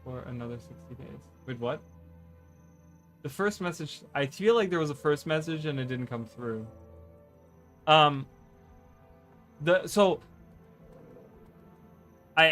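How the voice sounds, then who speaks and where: Man, native German speaker, slightly high voice, rambling stream chat, soft music behind. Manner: rambling, stammering restarts, frequent "right?". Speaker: streamer and content creator. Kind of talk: casual live-stream chat.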